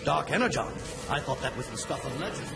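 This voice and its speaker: An actor voicing a technically minded robot advisor in a video game, explaining things in a musing, tentative way. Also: male voice, medium pitch, big pitch swings, fast talker, creaky. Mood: intrigued. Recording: loud music under the voice.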